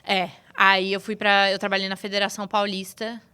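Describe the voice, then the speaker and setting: Brief: young woman guest, bright and crisp tone, loose rambling chat. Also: medium pitch, flat delivery, normal speed. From São Paulo state, Brazil. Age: 20s. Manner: chatty, loose, many filler tags. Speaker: sports journalist guest. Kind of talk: casual interview chat.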